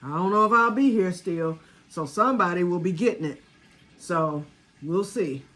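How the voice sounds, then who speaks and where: A woman's voice: lowish voice, moderate pace, fairly bright tone. A woman in her sixties, chatting casually to camera about her homemade batch, rambling and talking straight to her viewers. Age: 60s. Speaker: home vanilla maker.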